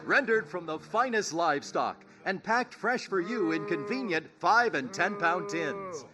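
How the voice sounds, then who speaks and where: An actor playing a chipper, folksy 1930s radio announcer with a polished, salesmanlike delivery. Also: male voice, high voice, lively intonation, a little slow, over music. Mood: upbeat selling.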